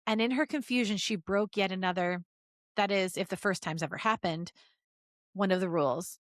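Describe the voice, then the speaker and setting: A woman podcast host reading fan fiction aloud as an expressive story narrator. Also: medium pitch, moderate pace, dry recording, clean studio sound.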